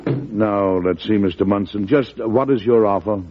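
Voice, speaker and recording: An actor playing a weary, resolute middle-aged American president, speaking formally and deliberately in an old radio drama. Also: male voice, lowish voice, natural voice. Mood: troubled, firm. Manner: deliberate, formal, dryly stern. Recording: muffled vintage radio recording.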